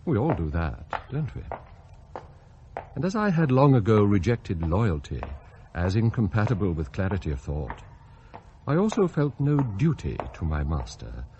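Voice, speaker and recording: An actor playing a scheming, cultured English butler in a radio drama, articulate, pompous and confiding. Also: male voice, lowish voice, mellow, dull audio, slight background noise, full character voice. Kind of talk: radio drama acting, first-person narration and dialogue.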